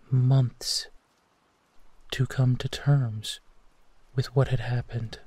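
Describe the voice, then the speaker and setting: A man playing a gentle, protective werewolf, speaking tenderly and soothingly in an intimate sleep-aid roleplay, with a soft, breathy voice. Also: mid-range voice, no echo. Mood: quiet, confiding. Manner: hushed, tender, unhurried reassurance with pauses. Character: gentle, protective male werewolf comforting a frightened companion.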